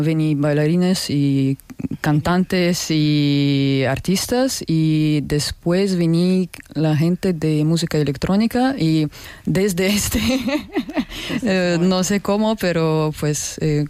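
A woman with a Russian accent answering casually as an interview guest, halting, with non-native phrasing. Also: sits low, warm tone, clear, resonant tone, no echo. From North Ossetia, Russia. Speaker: interview guest, community founder.

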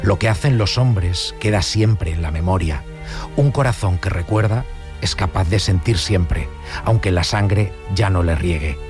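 A man reading a literary passage aloud as a grave narrator of an exile story, slow and solemn. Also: deep voice, voice pushed down. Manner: slow, solemn recitation over music. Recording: reading over music.

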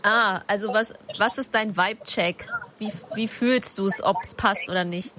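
A woman's voice: medium pitch, unhurried, pushed and bright, a little hiss or hum. A young woman co-hosting a radio show in casual, upbeat, colloquial chat.

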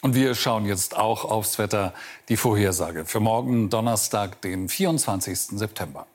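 A man's voice: lowish voice, moderate pace. A man with a standard German accent presenting scripted news as a studio anchor.

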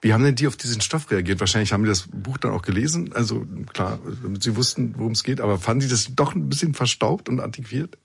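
A male host chats effusively through a film interview. His voice is fairly low with a husky grain, and he talks at a fast clip. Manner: enthusiastic, effusive.

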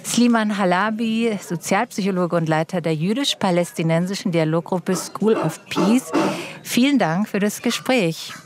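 A woman's voice: mid-range voice, normal speed. A woman hosting a radio interview, asking and presenting in a moderated style.

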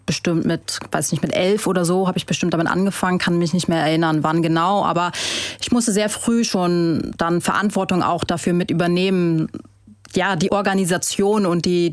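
A woman from Germany, a guest and author, giving thoughtful, measured interview answers with the odd hesitation. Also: on the low side, no room sound.

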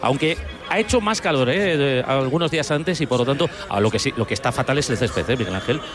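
A man's voice: medium pitch, animated, fast talker, over music. A man reporting live and unscripted for sports radio from an open-air stadium.

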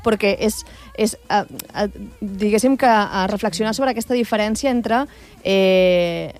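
A woman's voice: mid-range voice, unhurried, a little mellow, faint music behind. Woman asking winding questions. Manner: long, winding questions with fillers. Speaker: interviewer. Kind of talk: interview questions.

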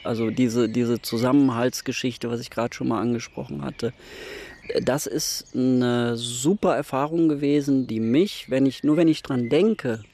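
A man's voice: medium pitch, darkish tone. A man in his fifties speaking reflectively in an interview, often hedging his views. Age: 50s. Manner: thoughtful, hedging with "glaube ich".

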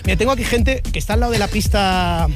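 A man's voice: high-pitched, quick pace, over music. A man from Spain reporting live outdoors in a park into a handheld microphone, chatty and jokey, often stumbling and restarting his words. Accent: Spain Spanish. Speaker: street reporter.

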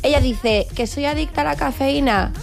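A woman's voice: high voice, normal speed, gravelly, faint music behind. A woman contributing to a talk show, bantering in a playful, outspoken way. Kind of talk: casual banter.

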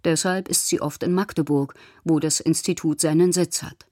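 A woman reading scripted documentary news narration in a measured, matter-of-fact way, recorded as a studio voice-over. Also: low voice, fairly even intonation, darkish tone, no echo.

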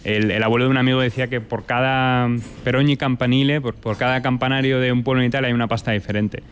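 A man from Italy and Spain who runs a restaurant, answering interview questions in a lively way and dropping in Italian food terms. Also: mid-range voice, fast talker, slight background noise. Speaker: restaurant owner.

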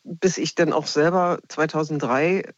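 A woman's voice: low-pitched, measured pace, no room sound. A woman from Berlin with a Berlin accent calling in to a radio show, chatting casually and hesitantly with lots of filler sounds. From Berlin, Germany. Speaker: radio listener caller. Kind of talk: casual call-in chat. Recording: dull lo-fi phone line.

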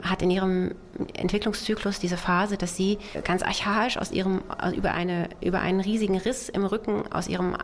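A woman novelist with Russian as her first language, raised in Germany, answering interview questions thoughtfully with many pauses, fillers and restarts. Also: lowish voice, a little hiss or hum. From Germany, child of Soviet immigrants. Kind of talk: literary interview.

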